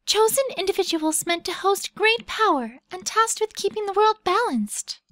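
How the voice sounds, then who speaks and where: Lady voicing formal guardian girl, super high voice, lively, patient explainer, audio drama. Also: moderate pace, no room sound, studio quality. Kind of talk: fan audio drama acting.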